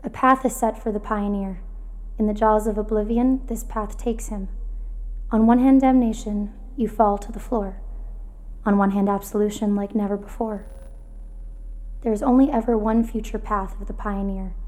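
A woman's voice: medium pitch, fairly even intonation, normal speed, a little mellow, close to an everyday voice. A woman playing a solemn, oracle-like narrator who recites cryptic prophecy in a poetic, incantatory way for an audio drama.

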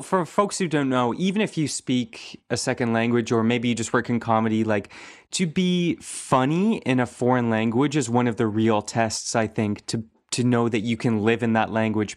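An interview host chatting casually, encouraging the guest with responsive interjections. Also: mid-range voice.

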